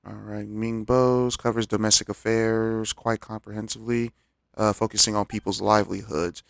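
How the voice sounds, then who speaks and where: A man's voice: fairly low pitch, little pitch movement, moderate pace, creaky, no echo, clean studio sound. A man streaming a game, giving casual, laid-back commentary and reading on-screen text aloud with mumbled asides. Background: faint game music.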